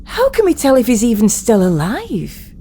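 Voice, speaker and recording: An actress voices a caring, anxious woman medic in an audio drama. Her voice is high and very animated, full of worried questioning. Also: normal speed, slight background noise.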